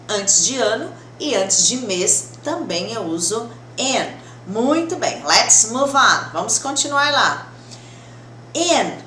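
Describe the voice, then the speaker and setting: A Brazilian woman with a fairly high voice gives a conversational English lesson. She explains step by step in a reassuring, didactic manner, repeating points and checking in. The room is quite echoey, with noticeable background noise. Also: fairly bright tone. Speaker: English teacher. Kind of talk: conversational grammar lesson to camera. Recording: roomy echo, clear background noise.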